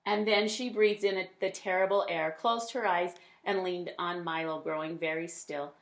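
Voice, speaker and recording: A woman reading a picture book aloud to children in an expressive storytelling style. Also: lowish voice, moderate pace, rough recording. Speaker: read-aloud storyteller, teacher.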